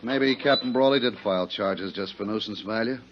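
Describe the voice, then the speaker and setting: A man's voice: lowish voice, a little fast, a little hiss or hum, lightly acted. A man playing a dry, by-the-book harbor police inspector in a 1950s radio drama, firm and matter-of-fact. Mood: reasoning, cautioning. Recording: muffled vintage 1950s radio recording.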